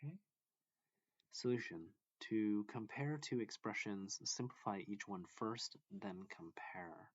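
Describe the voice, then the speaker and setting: A man reading a math textbook aloud as a teacher, explaining in short, deliberate phrases. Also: on the low side, slightly rough. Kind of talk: instructional read-along of a math textbook. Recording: dull lo-fi, echoey room.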